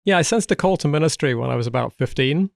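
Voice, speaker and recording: Gravelly man with a hard-to-place accent. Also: fairly high pitch, expressive, no room sound, clean studio sound. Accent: mixed South London and American. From England. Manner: thoughtful, halting, with many fillers and restarts. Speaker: guest, Old Testament scholar. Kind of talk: relaxed podcast conversation.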